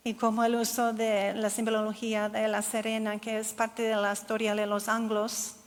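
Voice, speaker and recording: Woman speaking careful second-language Spanish. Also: on the high side. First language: English. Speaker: keynote speaker. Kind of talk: answering audience questions. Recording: long hall reverb.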